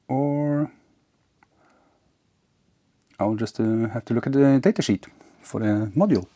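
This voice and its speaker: A man giving casual, unscripted hands-on narration as an electronics hobbyist, halting and half talking to himself as he works. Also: mid-range voice, vocal fry, mellow, slightly rough. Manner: halting, muttering to himself while working.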